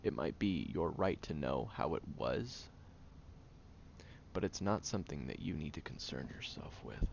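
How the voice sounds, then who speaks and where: A man playing a guarded, prickly young tsundere caring for a sick friend in an intimate audio roleplay, speaking haltingly, stern yet caring, often hushed. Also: extremely low pitch, animated, gravelly, muffled recording, a little hiss or hum, heavily acted. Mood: exasperated, earnest.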